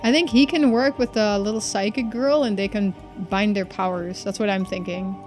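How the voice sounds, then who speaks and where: A woman's voice: mid-range voice, fairly even intonation, moderate pace, darkish tone, faint music behind. A woman game streamer giving casual, informal commentary to viewers during a playthrough. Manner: informal, chatty, addressing viewers.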